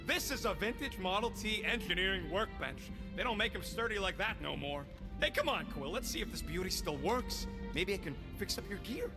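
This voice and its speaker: A man voicing a cocky, gruff talking raccoon mechanic in a video game, with folksy slang and boastful wisecracks. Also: high-pitched, lively intonation, moderate pace, music in the background. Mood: proud, showy.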